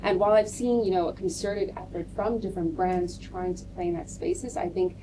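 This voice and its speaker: A woman marketer on a debate panel, speaking in a measured way and leaning on "you know" and "um" as she builds her points. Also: fairly low pitch, steady tone. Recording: distant mic with clear background noise.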